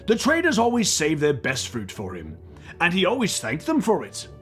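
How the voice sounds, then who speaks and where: Animated man reading a children's book. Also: high voice, normal speed, soft background music. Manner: playful, expressive. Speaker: children's book reader and comedy co-host. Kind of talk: animated read-aloud narration with playful banter.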